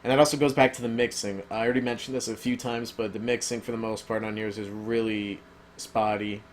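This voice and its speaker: A man's voice: lowish voice, flat delivery, a little fast, a little hiss or hum. A man giving a casual, rambling spoken review, full of ums and uhs, who says he is losing his voice. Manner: rambling, filler-heavy, opinionated. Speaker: album reviewer.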